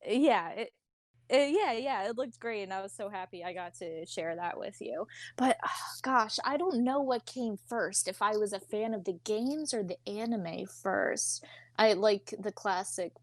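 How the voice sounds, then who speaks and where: Female podcast guest, 20, Philadelphia accent, informal and jokey chat, mid-pitched, very dry sound. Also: a little slow, no echo. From Philadelphia area and South Jersey, United States. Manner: informal, slangy, joking. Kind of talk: casual chat.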